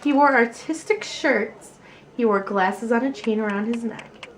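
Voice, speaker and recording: Unhurried, high-voiced woman reading a storybook. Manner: expressive, deliberate phrasing. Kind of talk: reading a children's picture book aloud.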